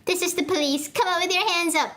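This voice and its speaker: A woman's voice: very high-pitched, steady tone, fast talker, pushed and bright, pitched above the performer's own voice. A woman voicing a police officer who gives commands to a suspect. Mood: commanding.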